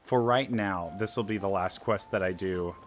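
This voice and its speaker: A man with a low voice talks casually as a let's play host. He rambles in a chatty way, thinking aloud, with soft music in the background. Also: moderate pace. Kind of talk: casual gameplay commentary.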